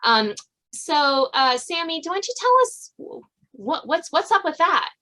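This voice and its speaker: A woman's voice: sits high, expressive, a little slow, sharp tone. A woman hosting a casual interview podcast, often rephrasing as she goes. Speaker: podcast host.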